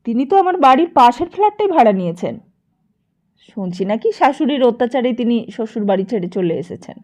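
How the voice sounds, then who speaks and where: A woman voicing a smooth-talking, meddling gentleman who moralises and flatters in an audiobook. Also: a little high, fairly quick. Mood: feigned indignation. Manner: ingratiating, moralising.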